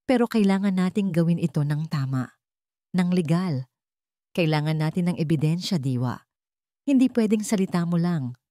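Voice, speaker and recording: A woman's voice: lowish voice, lively intonation, moderate pace, long pauses, dark tone, dry recording, clean studio sound, natural voice. A woman voicing a serious, loyal male lawyer friend in an audio drama, advising in a firm, professional way.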